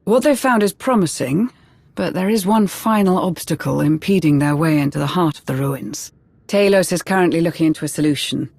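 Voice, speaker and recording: An actress playing a cool, calculating imperial intelligence officer in a video game cutscene, speaking in a formal, precise way. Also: female voice, deep voice, a little fast, creaky, darkish tone, slightly rough, no echo, exaggerated voice. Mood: composed briefing.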